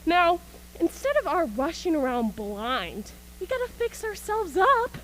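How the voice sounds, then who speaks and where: A woman's voice: very high voice, very animated, unhurried, a bit bright, a little hiss or hum, exaggerated voice, low-quality recording. A woman acting an embittered, manic militia soldier in a stage radio play, ranting in colloquial speech about surviving an invasion. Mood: scornful, resigned. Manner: colloquial, ranting, punctuated by scornful laughs. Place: school auxiliary gym.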